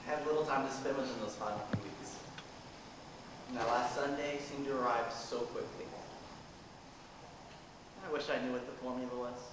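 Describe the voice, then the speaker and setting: A man acting an earnest, gentle young grandson in an amateur stage play. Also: medium pitch, steady tone, normal speed, long pauses, close to an everyday voice. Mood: wistful narration. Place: theater stage, far camera. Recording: distant, very echoey noisy stage recording.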